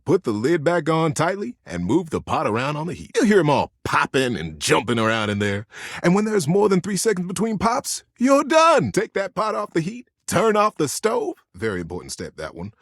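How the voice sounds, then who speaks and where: Lovable old teddy bear game character, hugely swooping pitch, husky, folksy, gentle, playful, encouraging. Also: male voice, huge pitch swings, normal speed, no room sound, studio quality, heavily acted. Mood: cheerful, instructive. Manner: folksy, playful, earnest pep-talking. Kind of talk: video game character monologue, storytelling advice.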